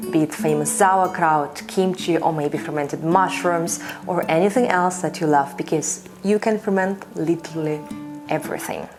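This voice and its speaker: Low-voiced woman teaching home fermentation. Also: darkish tone. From Russia. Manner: enthusiastic, conversational, with frequent "you know". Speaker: fermentation teacher and channel host. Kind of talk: instructional video talk to camera.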